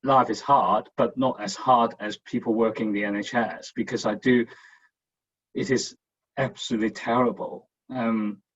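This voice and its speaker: A husky-voiced man speaks as a panellist over a dull, lo-fi video call. He is candid and self-deprecating, circling back over his words as he reflects. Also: mid-range voice, steady tone, moderate pace. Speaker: writer, panellist.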